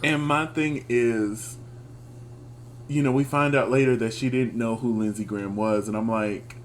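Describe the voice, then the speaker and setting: A man's voice: mid-range voice, fairly even intonation, unhurried, a little hiss or hum. A man from Texas with a Southern accent, co-hosting a casual review chat in a laid-back, chuckling way. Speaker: podcast co-host.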